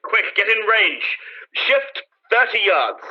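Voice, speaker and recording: Man playing artillery officer, high swooping voice, slow terse commands, stern, urgent, dull radio sound. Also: sing-song, full character voice. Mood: commanding. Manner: commanding, shouted terse orders. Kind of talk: radio play acting. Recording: dull, band-limited radio-style sound.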